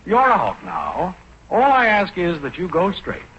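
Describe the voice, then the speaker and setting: A man's voice: high-pitched, huge pitch swings, a little slow, full character voice. An actor playing a breezy, teasing male neighbour and handyman in 1940s radio sitcom style. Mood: jaunty, teasing. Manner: jaunty, teasing. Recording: dull, very noisy 1940s radio recording.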